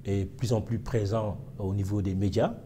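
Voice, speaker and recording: A man with a low, mellow voice, giving an interview in a thoughtful, hesitant way, his sentences full of small fillers. A faint hiss sits under the recording. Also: fairly even intonation, normal speed. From Senegal. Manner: reflective, with many fillers and hesitations. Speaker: interviewee, historian. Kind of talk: casual interview.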